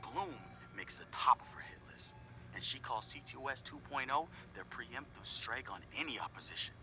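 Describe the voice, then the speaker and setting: Young hacker, laid-back, wry, profane, game audio log, mid-range voice, music underneath. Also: male voice, medium pitch, lively intonation, moderate pace, pushed and bright. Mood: candid. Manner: casual, profane, wry asides. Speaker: hacker describing teammates. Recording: clear music behind the voice.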